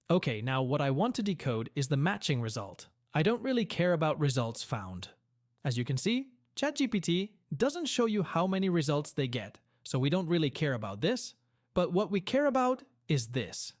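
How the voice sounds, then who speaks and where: A man with a somewhat high-pitched voice leads a programming walkthrough. He explains what he is doing step by step and gives the reason for it. The sound is dry, with no room echo. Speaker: coding tutorial host.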